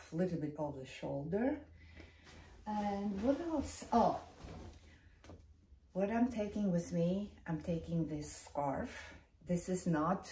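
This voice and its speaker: Middle aged lady vlogging, slow halting chat, sounds far from the mic in a room. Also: lowish voice, dark tone. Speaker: fashion vlogger. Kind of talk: casual vlog chat while showing items. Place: large carpeted bedroom indoors. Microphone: camera a few feet away. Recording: roomy echo, distant mic, light noise.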